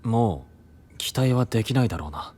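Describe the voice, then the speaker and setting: An actor playing a hot-blooded, worried younger-brother knight with rough, boyish, urgent speech in a fantasy audio drama. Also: male voice, medium pitch, lively intonation, normal speed, dark tone. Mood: worried, subdued. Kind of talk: anime-style fantasy audio drama acting.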